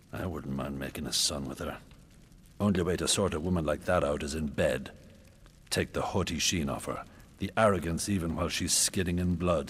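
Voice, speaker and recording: A man's voice: deep voice, breathy edge. An actor playing a brutal, arrogant middle-aged warrior king, narrating his coarse, boastful thoughts in the first person for a radio drama. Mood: leering. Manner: coarse, boastful, cold inner monologue.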